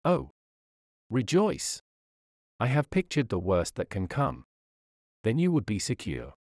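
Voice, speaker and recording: A man reads an old-fashioned sermon with a solemn, measured voice, pressing his points with earnest exhortation. His pitch sits in the middle range for a man. The recording is close and dry, with no room sound. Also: huge pitch swings, a little mellow, dry recording. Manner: earnest exhortation with rhetorical addresses. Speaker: reader of a historical sermon. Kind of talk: read sermon narration.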